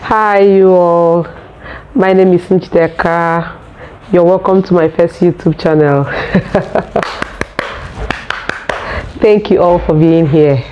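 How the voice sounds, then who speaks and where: A Nigerian woman who speaks English as a second language, telling her own story in a vlog in an earnest, unhurried way. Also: fairly low pitch, steady tone, lo-fi sound, slight background noise. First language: Nigerian local language. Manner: earnest, unhurried, searching for words. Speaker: vlogger.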